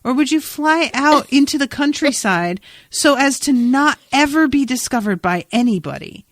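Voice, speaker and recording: A woman's voice: sits high, expressive, normal speed, no room sound. A woman co-hosting a casual recap podcast, slangy and sarcastic, with an incredulous, exasperated way of talking. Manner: informal, slangy, swears casually. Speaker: podcast co-host. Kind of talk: casual TV episode recap chat.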